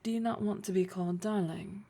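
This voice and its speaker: A woman playing a poised, flirtatious, domineering boss in a roleplay audio drama, speaking formally and with measured pauses in a teasing, condescending way. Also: medium pitch, steady tone, vocal fry, mellow, slightly rough. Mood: teasing, challenging.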